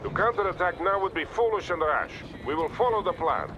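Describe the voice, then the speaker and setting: Stern Russian colonel amid battle noise. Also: male voice, sits high, very animated, brisk, highs cut off. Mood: firm, commanding. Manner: commanding, clipped orders. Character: stern, weary Soviet colonel commanding troops. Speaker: military commander in a game. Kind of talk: scripted video game cutscene acting. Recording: loud battlefield noise behind.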